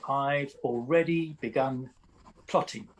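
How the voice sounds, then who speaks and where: A man playing a slow, wry Victorian librettist. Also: medium pitch, natural voice. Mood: measured, pleased. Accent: British. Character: self-assured, wry male Victorian comic opera writer. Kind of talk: radio play acting.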